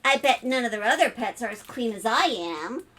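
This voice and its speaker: A woman's voice: a little high, expressive, normal speed, pushed and bright. A woman voicing a lonely little spider from a children's storybook, eager and pleading, longing to be a pet. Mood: eager boasting.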